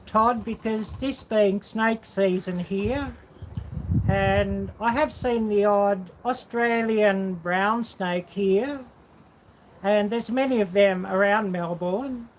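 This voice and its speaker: A woman's voice: mid-range voice, slow pace, slight background noise, rough recording. A woman hosting a casual livestream, rambling and musing aloud as she answers viewers. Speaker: livestream host.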